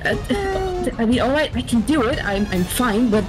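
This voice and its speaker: A woman's voice: sits high, big pitch swings, moderate pace, rough recording. A woman voicing an exasperated, dutiful cat heroine, dryly resigned, in an improvised comic game dub. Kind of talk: improvised comedic video game fandub. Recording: clear music under the voice. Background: music.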